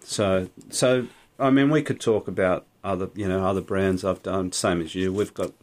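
Aussie male podcast co-host, low voice, loose unscripted chat with restarts. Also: steady tone, normal speed. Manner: rambling, self-correcting, laughs often. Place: small recording studio. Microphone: studio microphone.

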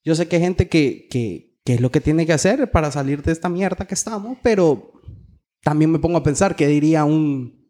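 A Honduran man with a fairly high voice co-hosts a casual podcast. He talks in local slang and swears freely as he chats. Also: moderate pace, warm tone. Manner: profane, slangy. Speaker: podcast co-host. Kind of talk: casual chat.

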